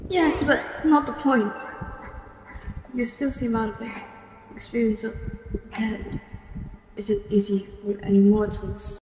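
A woman voicing a proper, sympathetic angel with a gently formal manner in an amateur comic dub. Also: fairly high pitch, fairly even intonation, takes it slowly, long pauses, roomy sound, in a large hall, background noise, pitched above the performer's own voice. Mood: gentle concern.

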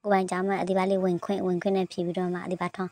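Even-toned woman speaking haltingly. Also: on the low side.

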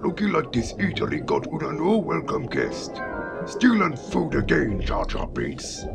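Very gravelly, bullying old alien chef. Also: male voice, fairly high pitch, very animated, a little slow, exaggerated voice. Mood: menacing. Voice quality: gravelly growl. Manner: menacing, blustering. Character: gruff, bullying old male alien ex-general turned chef. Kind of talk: comic dub character acting. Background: music.

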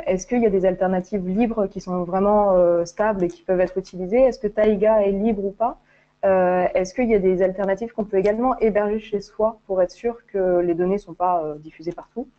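A woman moderating a webinar, asking the guest brisk questions. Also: medium pitch, dark tone, muffled recording.

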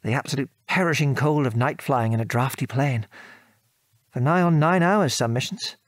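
A man's voice: medium pitch, normal speed, no echo, studio quality, natural voice. An actor playing an affectionate British father and former wartime air force officer, patiently reminiscing in an audio drama. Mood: fond, amused. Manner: chuckling, patient, old-fashioned British turns of phrase.

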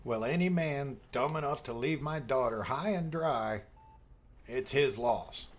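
A man's voice: medium pitch, unhurried, natural voice. A man playing an ailing but jovial older father with a wheezy voice in an audiobook drama. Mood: fond. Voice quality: wheezy, prone to coughing.

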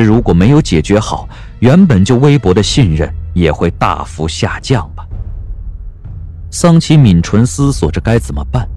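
A male narrator with a low, dark voice reading a period drama. His intonation rises and falls expressively. Faint music plays underneath. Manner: expressive storytelling. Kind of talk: scripted audio drama narration.

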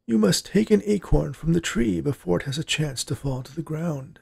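Hoarse, frail old grandfather, as a male narrator plays him. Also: fairly high pitch, animated, moderate pace, darkish tone, pitched above the performer's own voice, exaggerated voice. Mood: urgent. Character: frail, dying old grandfather, urgent and grave. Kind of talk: storybook character voice in narration.

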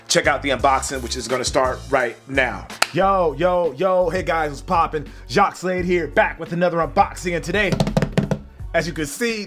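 Upbeat man with a cold. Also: medium pitch. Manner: upbeat, rambling, talks to viewers directly. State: has a cold, sounds a bit stuffy. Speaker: sneaker unboxing vlogger. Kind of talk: casual unboxing vlog.

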